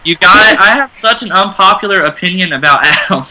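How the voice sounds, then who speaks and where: A man's voice: sits high, a little fast, heavy background noise. A man co-hosting a casual chat show, speaking emphatically with a self-deprecating streak. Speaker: show co-host.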